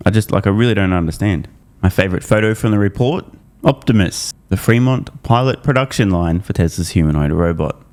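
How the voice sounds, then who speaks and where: Deep-voiced man giving emphatic news recap. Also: brisk, darkish tone. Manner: emphatic, opinionated, irreverent with slangy swearing. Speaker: host of an investor news channel. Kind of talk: scripted recap commentary with casual asides.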